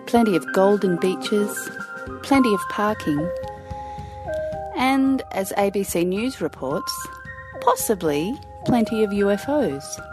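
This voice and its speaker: A woman's voice: medium pitch, expressive, takes it slowly, over music. An Australian woman working as a podcast reporter, reading out a scripted news segment.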